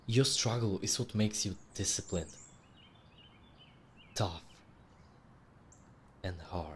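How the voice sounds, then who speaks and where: Male meditation guide, low register, soothing and measured with long pauses, birdsong ambience behind. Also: slight background noise. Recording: clearly audible background ambience with birdsong. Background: birdsong and nature ambience.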